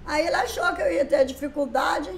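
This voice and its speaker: A woman's voice: high voice, fairly quick, gravelly. An older woman, a writer and songwriter, chatting in an interview and acting out past conversations as she tells her stories. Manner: lively storyteller who acts out past conversations. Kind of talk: casual interview chat. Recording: echoey room.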